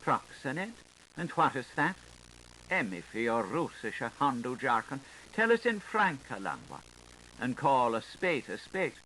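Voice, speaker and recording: A man's voice: mid-range voice, very animated, measured pace, pushed and bright, low-quality recording. A man voicing an eager, nosy washerwoman in a dramatic audiobook reading, insistent and full of questions. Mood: demanding. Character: eager, nosy Irish washerwoman hungry for gossip. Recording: hissy 1985 tape recording.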